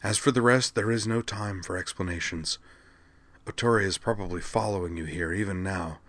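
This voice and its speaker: A tiny, worn-out master mage from a fantasy storybook, as a male narrator plays him. His voice is soft, low and a little raspy, and very closely recorded. He speaks in solemn, formal phrases with weary urgency. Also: normal speed, no echo. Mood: grave, urgent. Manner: formal, solemn, earnest speech. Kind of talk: fantasy audiobook character dialogue.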